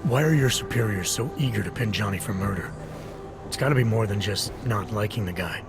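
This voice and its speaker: An actor playing a terse, skeptical detective in a video game, probing in a clipped, dry, challenging manner. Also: male voice, fairly low pitch, a little fast, natural voice. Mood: businesslike. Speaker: Interpol investigator. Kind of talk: video game cutscene dialogue. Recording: background music under dialogue.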